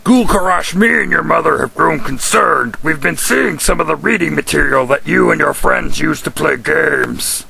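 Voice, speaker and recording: A man voicing a strict, evil monster father in a raspy, pinched voice, scolding his son in a comic sketch. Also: on the high side, expressive, normal speed, hardly any pauses, bright, forceful tone, voice pushed up, heavily acted. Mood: concerned, stern. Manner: stern parental scolding.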